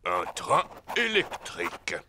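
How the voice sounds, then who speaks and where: Jolly old Father Christmas, bright and expressive. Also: mid-range voice, slow, a little hiss or hum, exaggerated voice. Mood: jolly, kindly. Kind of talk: French children's cartoon dubbing.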